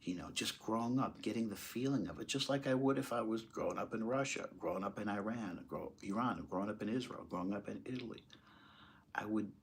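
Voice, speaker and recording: An American man giving impassioned, off-the-cuff commentary in a halting, emphatic way. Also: lowish voice, vocal fry, slight background noise. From United States, moved there as a small child. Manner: halting, emphatic, rhetorical questions. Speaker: political commentator.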